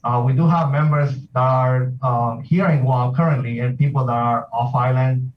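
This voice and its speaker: A man's voice: mid-range voice, far from the mic, muffled recording. A man from South America who is president of a heritage group, speaking steadily with many hesitations in a remote interview. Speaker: association president, military sergeant.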